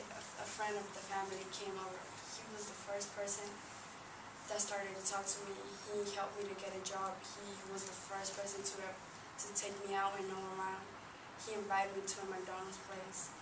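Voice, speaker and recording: A woman reads a first-person life story aloud, sometimes stumbling and restarting her words. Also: mid-range voice. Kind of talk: read-aloud personal narrative. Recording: noisy, echoey room, distant microphone.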